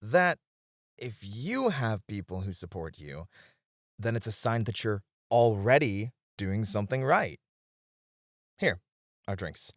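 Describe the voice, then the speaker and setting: Man acting as supportive club host, flirty, gentle, lively swooping pitch, close dry sound, ASMR roleplay. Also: slow, long pauses, no room sound. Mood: warm, playful. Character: flirty, supportive male club host comforting his friends.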